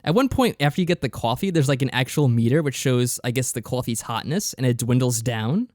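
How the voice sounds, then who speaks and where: A man with a mid-pitched voice talks casually as a podcast co-host. The sound is dry with no room echo, and he explains things plainly with a deadpan, sarcastic edge. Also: fairly quick. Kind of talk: casual review chat.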